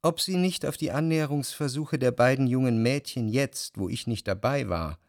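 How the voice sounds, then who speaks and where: An actor playing a jealous, brooding narrator who speaks in measured, reflective long sentences in a literary radio drama. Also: male voice, mid-range voice, no echo, studio quality, close to an everyday voice. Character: jealous, brooding male narrator, introspective and anxious.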